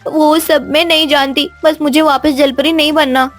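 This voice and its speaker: A woman's voice: high voice, steady tone, moderate pace, fairly bright tone, soft background music, pitched above the performer's own voice. A woman voicing a stubborn young mermaid in a cartoon story, imploring and insistent. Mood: pleading. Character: pleading, stubborn young female mermaid posing as a boy.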